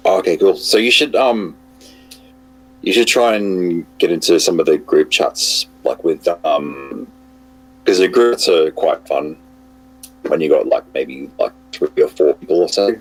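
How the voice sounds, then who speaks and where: A man with a cold and a hoarse voice, chatting casually as a guest on an online call, hesitant with many fillers and restarts. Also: low-pitched, a little slow, rough recording.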